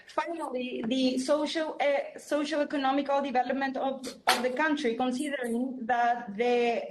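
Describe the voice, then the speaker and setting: High-voiced, hesitant woman interpreter. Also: low-quality recording. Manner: hesitant, choppy phrasing. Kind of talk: consecutive interpreting.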